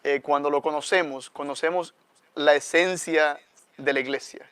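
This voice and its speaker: A man's voice: a little high, steady tone, moderate pace, fairly bright tone, smooth, dry recording. A man with a Salvadoran accent, a guest priest teaching conversationally and reflectively, often adding questioning tags.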